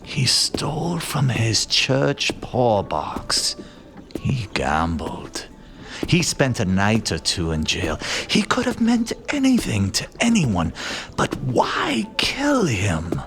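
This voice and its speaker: An actor playing an aloof, pompous gentleman detective in a radio play, speaking in measured, theatrical phrases. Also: medium pitch, wildly swooping pitch, creaky, heavily acted. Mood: haughty. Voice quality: haughty, measured, theatrical pauses. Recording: faint music behind.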